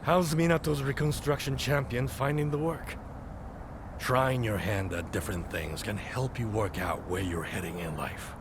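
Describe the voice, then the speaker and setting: An actor playing a gruff, teasing, laid-back warrior comrade in a video game, with a gravelly voice and a familiar manner. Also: male voice, normal speed, background noise, close to an everyday voice. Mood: easygoing, wry. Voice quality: low, gravelly. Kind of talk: video game cutscene acting.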